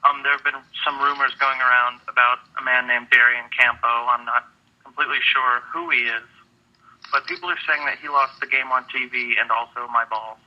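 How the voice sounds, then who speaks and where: Male prank caller, monotone and deadpan, dull telephone audio. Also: mid-range voice, moderate pace. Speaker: caller to a phone-in show. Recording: muffled telephone line.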